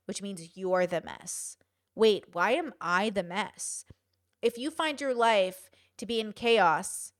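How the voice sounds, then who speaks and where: Lively young woman host, dry close mic. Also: on the high side, dry recording. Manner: animated, swearing, addresses viewers directly. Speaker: commentary show host. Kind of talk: casual commentary monologue.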